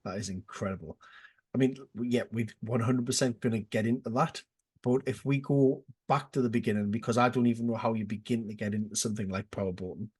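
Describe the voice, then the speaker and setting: A man hosts a casual podcast with a slightly husky, breathy voice. He reacts eagerly to his guest and often trips over his words and restarts before landing his question. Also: on the low side, steady tone, fast, darkish tone. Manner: enthusiastic, stumbling restarts, lots of "wow". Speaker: podcast host.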